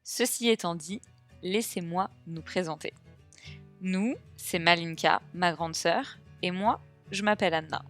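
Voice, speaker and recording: A young woman narrating her hiking trip in a scripted voice-over, measured and friendly. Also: fairly low pitch, a bit bright, faint music behind. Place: voice-over, not recorded on the trail.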